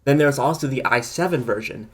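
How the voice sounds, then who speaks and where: A man's voice: medium pitch, a little fast, a bit bright, slightly rough. A man co-hosting a casual tech podcast, opinionated and rambling as he thinks aloud. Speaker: podcast co-host.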